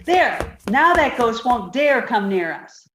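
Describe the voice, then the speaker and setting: A woman's voice: on the high side, sing-song, takes it slowly, reverberant, background noise. A woman playing a sweet, naive, scatterbrained young secretary in an old-time radio comedy, earnest and easily distracted. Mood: pleased.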